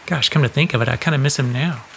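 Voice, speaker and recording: A man's voice: medium pitch, fast, vocal fry, a bit bright. A man in an audio drama playing a kindly, easygoing, slightly sheepish guy with casual, rambling phrasing. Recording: faint rain noise behind the voice.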